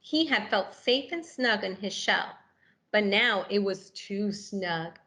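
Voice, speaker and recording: Female children's story reader, medium-pitched, expressive and animated, engaging young listeners as she reads. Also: slow. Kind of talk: expressive picture-book read-aloud.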